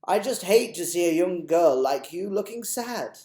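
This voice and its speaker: A man voicing a kind, polite, old-fashioned elderly gentleman tramp in a storybook reading. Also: high voice, animated, moderate pace, echoey room, pitched above the performer's own voice. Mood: kindly. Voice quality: genteel, proper old-fashioned manner.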